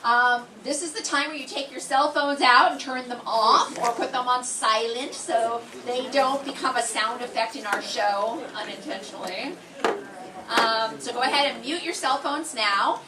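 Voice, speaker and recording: A woman's voice: a little high, moderate pace, recorded from a distance. A woman teaching the class, giving chatty, joking welcome remarks to an audience. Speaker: class instructor. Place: college hall with live audience. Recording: echoey room from afar, crowd noise.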